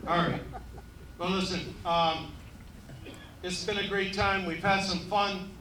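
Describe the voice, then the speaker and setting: A man emceeing a ceremony, joking at his own expense and pausing often on fillers. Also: sits high, little pitch movement, sharp tone, a little hiss or hum. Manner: joking, self-deprecating, halting with many fillers. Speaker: event emcee. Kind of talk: ceremony speech with jokes. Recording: echoey room, voice from far off.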